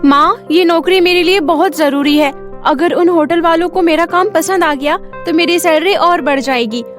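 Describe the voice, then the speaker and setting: A woman's voice: extremely high pitch, normal speed, faint music behind. A woman voicing a poor, earnest young working daughter in a cartoon story, pleading in manner. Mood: earnest, worried.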